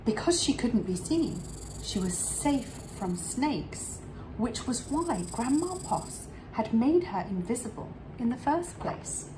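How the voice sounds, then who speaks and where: Animated woman reading a children's story slowly. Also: on the high side, noisy background. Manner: animated, dramatic pauses and drawn-out words. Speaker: storybook reader for an English club. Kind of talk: expressive read-aloud children's storytelling.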